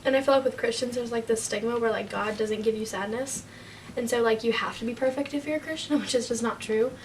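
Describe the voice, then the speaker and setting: An eighteen-year-old woman answers interview questions quickly and frankly, her voice bright and a bit high. She sits back from the mic, with faint room tone behind her. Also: recorded from a distance, noisy background. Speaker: interviewee, college freshman.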